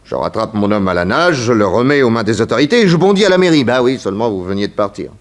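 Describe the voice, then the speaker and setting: A man's voice: fairly low pitch, very animated, fast talker. An actor playing a brash, cheeky male detective with a breezy colloquial delivery in a 1960s French radio serial. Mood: breezy, insistent. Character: brash detective hero, late groom, cheeky.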